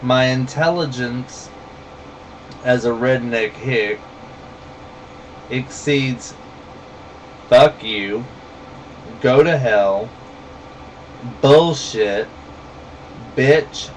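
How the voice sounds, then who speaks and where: A man with a Southern US drawl delivers a blunt, heated rant in a slow, deliberate way. He sits a little back from the microphone. A faint hum runs underneath. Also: medium pitch, far from the mic, rough recording. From rural southern United States. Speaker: vlogger. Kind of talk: ranting monologue to camera.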